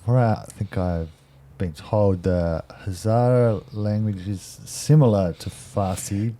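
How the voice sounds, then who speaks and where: A man's voice: on the low side, slow, warm tone. A man interviewing someone casually, tentatively rephrasing his questions as he goes.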